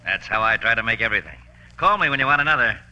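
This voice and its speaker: An actor playing an obliging, talkative hotel bartender with folksy phrasing in a 1940s radio drama. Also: male voice, medium pitch, animated, rapid-fire, bright, forceful tone, dull audio. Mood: gossipy.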